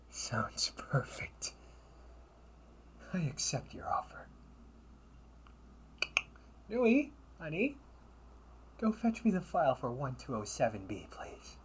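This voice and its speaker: A man's voice: medium pitch, a little hiss or hum. A man acting a silky, scheming crime-family older brother. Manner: silky, teasing, unhurried, theatrical. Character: smooth, scheming older brother, crime syndicate information broker. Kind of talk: audio roleplay acting.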